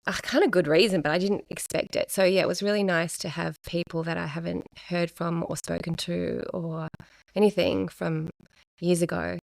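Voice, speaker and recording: A middle-aged woman, talking casually and reflectively as a podcast guest, pausing often. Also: lowish voice, fairly even intonation, vocal fry, no room sound. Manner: soft-spoken, reflective, with many pauses and fillers. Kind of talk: casual chat.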